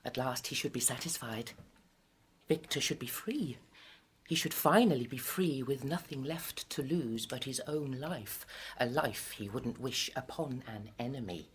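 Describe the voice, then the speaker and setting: Casting: impassioned gothic tragedy narrator, deep female register, theatrical and intense, live radio play with some noise. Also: a little slow, poor audio. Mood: grave, brooding. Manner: intense, declamatory, dramatic. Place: festival theatre stage. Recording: live stage recording with clear noise.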